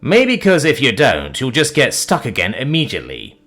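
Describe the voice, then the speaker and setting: A man's voice: mid-range voice, wildly swooping pitch, measured pace, pushed and bright, faint music behind, pitched above the performer's own voice, heavily acted. A man playing an exasperated, irritable young man who grumbles and swears.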